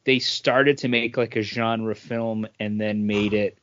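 A man's voice: fairly low pitch, fairly even intonation, slow pace, a bit bright. Man chatting casually on a dull-sounding podcast. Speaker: podcast co-host. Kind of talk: casual movie review chat. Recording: dull, lo-fi, dry close sound.

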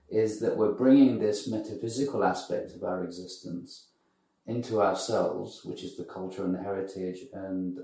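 A man co-hosting a podcast, talking in a reflective, halting conversational way with many pauses. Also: sits low, mellow. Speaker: podcast co-host. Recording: echoey room, distant from mic.

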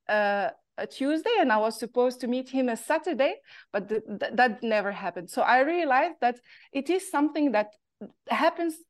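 A woman from Morocco with a Moroccan accent, telling her life story as an interview guest in a thoughtful, halting way, her pitch rising and falling. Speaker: guest, artist.

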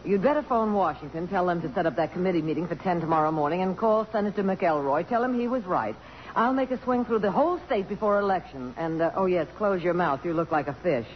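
An actress playing a brisk, proud middle-aged congresswoman with a romantic streak, in 1950s radio theater style. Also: on the low side, close to an everyday voice. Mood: brisk, bossy. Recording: muffled, hissy old radio broadcast.

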